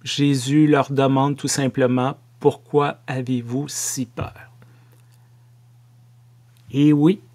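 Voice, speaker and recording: A middle-aged man with a Québécois French accent giving a sermon to camera from a home office, slow and measured with playful asides. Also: mid-range voice, darkish tone. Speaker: pastor.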